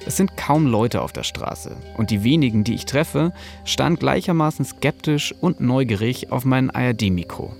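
A man's voice: medium pitch, animated, normal speed, creaky, darkish tone, faint music behind. A man narrating a scripted podcast as host, in a casual, personal storytelling manner.

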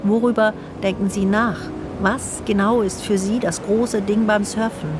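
A woman narrating a radio feature in a calm, personal, questioning way. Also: medium pitch, a little slow. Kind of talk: scripted reflective narration. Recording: studio narration over music.

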